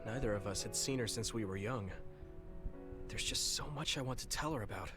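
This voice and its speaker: A man's voice: on the low side, brisk, lightly acted. A man playing a friendly, open-hearted young male hero in a video game, with a sincere, eager delivery. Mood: wistful, sincere. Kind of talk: English video game cutscene voice acting. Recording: game audio with background music.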